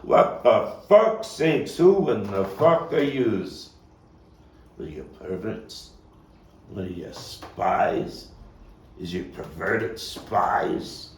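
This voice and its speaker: An actor playing a weary, foul-mouthed, combative neighborhood philosopher in a halting, emphatic stage monologue, his voice gravelly. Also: fairly low pitch, lively intonation, a little mellow. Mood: suspicious, angry. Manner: rambling, profane, halting, emphatic street talk. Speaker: playwright and actor. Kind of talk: theatrical monologue at a table read. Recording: distant mic, roomy echo, faint noise.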